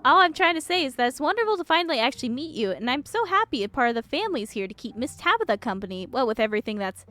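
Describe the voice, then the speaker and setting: A woman's voice: sits high, sing-song, rapid-fire, few pauses, pushed and bright, exaggerated voice. A woman voicing a sweet, eager, chatty small-town cleaning woman in a game, gushing and folksy.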